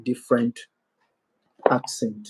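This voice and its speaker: A man's voice: mid-range voice, flat delivery, slow, background noise. A man with a Ugandan accent hosting a casual live-stream Q&A, rambling and musing aloud as he reads out viewer comments. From Western Uganda.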